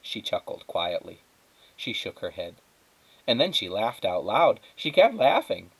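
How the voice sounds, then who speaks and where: A man reading a children's story aloud as a teacher, in expressive narration. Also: fairly low pitch, measured pace, creaky, husky, rough recording.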